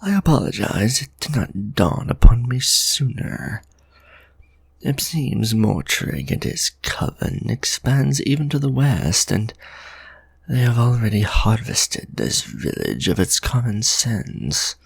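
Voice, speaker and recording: A man voicing a brooding, protective male vampire lord in an audio roleplay, speaking formally in an intense, breathy whisper into a close microphone. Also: mid-range voice, big pitch swings, slow, no echo, full character voice. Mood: tender. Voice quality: gravelly, breathy. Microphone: close ASMR microphone.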